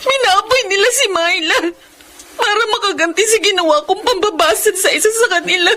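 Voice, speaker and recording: An actress playing a protective, vengeful older sister in an emotional, emphatic radio melodrama style. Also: female voice, very high-pitched, a little fast, sharp tone, slight background noise. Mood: guilt-ridden. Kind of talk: Filipino radio melodrama acting.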